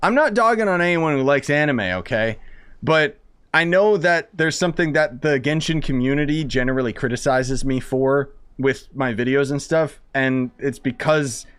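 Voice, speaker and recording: Mid-pitched man rambling over a game. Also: moderate pace, a bit bright, clear, resonant tone. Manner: slangy, opinionated rambling. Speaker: gaming streamer. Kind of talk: casual gameplay commentary.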